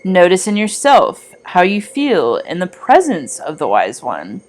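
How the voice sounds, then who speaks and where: A woman reading a scripted guided meditation in a measured, gently instructing manner. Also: fairly low pitch, wildly swooping pitch.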